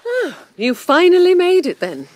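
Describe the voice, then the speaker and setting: A teasing young wife. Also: female voice, very high-pitched, no echo, heavily acted. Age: 30s. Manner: teasing, lively and brisk. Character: playful, adventurous wife in her mid-thirties. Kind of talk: radio drama acting.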